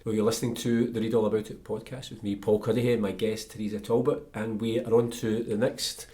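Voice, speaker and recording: A man's voice: on the low side, little pitch movement, quick pace, dark tone, soft background music. A man with a Scottish accent hosting a podcast, interviewing a guest in a casual, conversational style.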